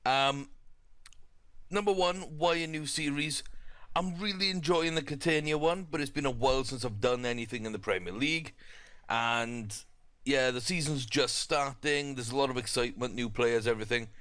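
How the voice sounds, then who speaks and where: A man's voice: mid-range voice, moderate pace, a bit bright, clear, resonant tone, no echo. A young man with a South Welsh accent, chatting casually through a football management game with lots of fillers and restarts. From South Wales. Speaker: gaming YouTuber.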